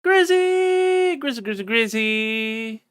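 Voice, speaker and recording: A livestreamer chatting casually and excitably with viewers, swearing freely as they banter. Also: medium pitch, slow, clean tone, no room sound, clean studio sound. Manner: excitable, profane, bantering with chat. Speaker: streamer. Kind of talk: casual livestream chat and reading a visual novel aloud.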